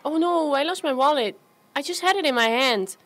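High-voiced flustered woman, acted by a woman in radio play. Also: normal speed, a bit bright. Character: flustered polite woman who lost her wallet.

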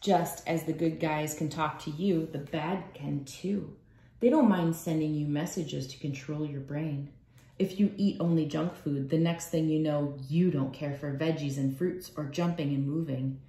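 A woman's voice: low-pitched, steady tone, a little slow, mellow. Woman reading aloud in an echoey room. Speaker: children's book read-aloud host. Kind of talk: reading a picture book aloud. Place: small room at home, indoors.

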